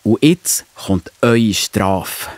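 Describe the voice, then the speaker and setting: A man playing a gentle, patient father in a children's audio play. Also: on the low side, animated, extremely slow, no room sound. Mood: mildly stern. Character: gentle, fair-minded father of two children.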